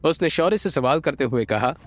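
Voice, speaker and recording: A man narrating an audio drama from a script, expressive and building suspense. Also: on the high side, a little fast. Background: light background music.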